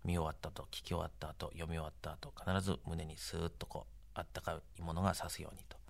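Man, interview guest, deep low voice, quick delivery, conversational, filler-heavy short phrases. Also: level tone, gravelly. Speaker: interview guest, anime production staff.

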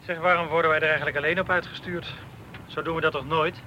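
A talkative male detective in a radio play, full of ironic banter and grumbling. His voice is very bright and forceful and sits a bit high for a man, over faint noise. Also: steady tone, quick pace, dull audio. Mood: wry. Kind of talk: Dutch radio drama acting.

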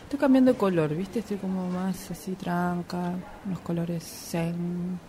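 A woman playing a chatty, unsettled grown daughter in a radio drama, speaking casual, slangy Rioplatense Argentine Spanish haltingly, with repeated words. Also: fairly low pitch, fairly even intonation, mellow, slight background noise, lightly acted. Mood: hesitant, confiding. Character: chatty, unsettled grown daughter seeking her mother's attention. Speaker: actor in a radio drama workshop. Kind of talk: naturalistic improvised radio drama dialogue.

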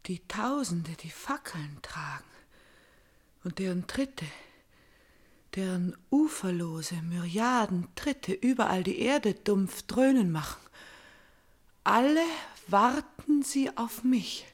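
Slow, fervent tragic princess. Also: female voice, medium pitch, expressive. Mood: entranced, breathy. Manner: declamatory, fervent, deliberate. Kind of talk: intense classical German radio tragedy acting.

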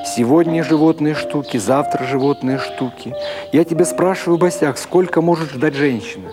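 A man voicing a big, coarse, tearful Odessa wife who laments bitterly and loudly in a dramatised short story. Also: a little high, moderate pace, voice pushed up, lightly acted. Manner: loud, inconsolable lamenting. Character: loud, bitter, weeping Odessa wife, big middle-aged woman. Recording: music behind the voice.

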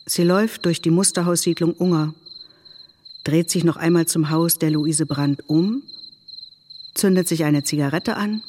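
Actress doing a measured female radio drama narrator, lowish voice, reads out stage directions and rules flatly stated. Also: a little hiss or hum, close to an everyday voice. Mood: narrating. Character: woman narrator reciting screenplay directions and etiquette rules. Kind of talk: scripted radio play narration.

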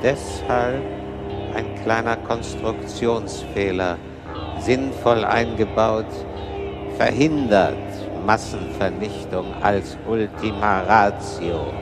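Slow, smug logical machine brain. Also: male voice, fairly low pitch, fairly even intonation, loud music behind the voice. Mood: coolly lecturing. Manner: sober, deliberate, lecturing. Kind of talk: radio play acting.

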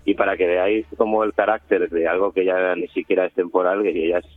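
A man with a low voice describes a radio documentary, hesitant and restarting often. The sound is thin and phone-like. The room is dry. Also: level tone, a little fast, no echo. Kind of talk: interview talk. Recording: phone-like line.

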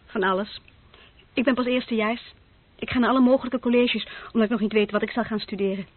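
A woman's voice: fairly high pitch, steady tone, quick pace, no room sound, close to an everyday voice. An actress playing a candid, self-possessed female student of nineteen in a radio drama. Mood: candid, hesitant. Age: young adult. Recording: narrow-band old radio play recording, very dry.

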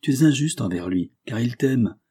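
A man voicing an earnest young gentleman in an audiobook, solicitous and insistent toward a friend. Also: medium pitch, animated, moderate pace, slightly rough, dry recording, clean studio sound, voice pushed up. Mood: urging. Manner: affectionate, insistent. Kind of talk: audiobook character dialogue.

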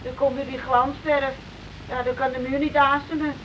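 An actress playing a fussing, plain-spoken housewife in a Dutch radio play. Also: female voice, high voice, flat delivery, normal speed, fairly bright tone. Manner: plain, colloquial. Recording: distant, noisy old radio recording.

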